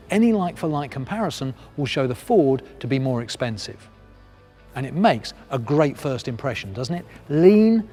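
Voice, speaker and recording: A man delivers scripted promotional narration in a slightly high voice that moves up and down with plenty of animation. His phrasing is polished and persuasive, leaning on key words for emphasis. Music plays along with him. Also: darkish tone. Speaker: presenter of a car sales training film.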